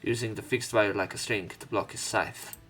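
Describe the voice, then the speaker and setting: A man's voice: on the low side, steady tone, moderate pace, fairly bright tone, soft background music. A man hosting a let's play, reading visual novel narration aloud in a dramatic way, with commentary. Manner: acts out lines and exclamations.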